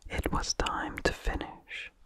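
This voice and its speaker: Someone whispering an old spooky story for asmr, super slow n hushed, soft whisper all the way. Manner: slow, hushed, deliberate delivery. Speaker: narrator. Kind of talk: whispered ASMR reading of a vintage horror story.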